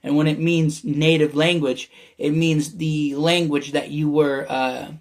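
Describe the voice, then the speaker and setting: Young American man, slightly raised pitch, loose rambling solo vlog delivery with lots of fillers. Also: little pitch movement, measured pace. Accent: American, often heard as Canadian. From United States. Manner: meandering, many fillers and restarts, chuckles. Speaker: vlogger. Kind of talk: casual rambling monologue.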